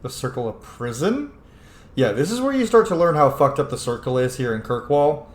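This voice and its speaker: A man's voice: medium pitch, big pitch swings, a little fast. A man giving casual, opinionated game commentary as he plays, swearing freely. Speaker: gaming streamer playing and commenting. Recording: roomy echo with faint noise.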